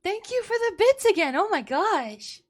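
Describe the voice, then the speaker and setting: A woman's voice: very high-pitched, very animated, moderate pace. A woman livestreamer chatting casually with her viewers in a bubbly, exclamatory, readily apologetic way. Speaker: live streamer. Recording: music behind the voice. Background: background music.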